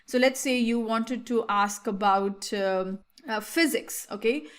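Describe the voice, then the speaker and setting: A woman whose first language is Hindi talks viewers through a screen-share software walkthrough. Her voice sits a little high, and she explains patiently, with frequent fillers and restarts. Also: unhurried. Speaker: tutorial host.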